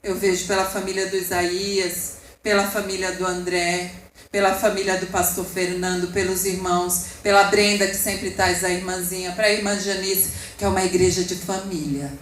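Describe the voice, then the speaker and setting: A woman's voice: on the low side, flat delivery, moderate pace, echoey room, a little hiss or hum, poor audio. A woman with a Brazilian Portuguese accent preaching a sermon with passion, rising and falling and speaking asides to the congregation. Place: church hall.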